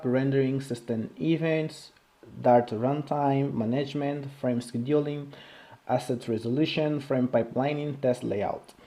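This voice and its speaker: A man with a non-native English accent giving an informal technical tutorial in a halting, repetitive way, often checking in with a short question. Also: medium pitch, dark tone. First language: not English. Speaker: programming video creator.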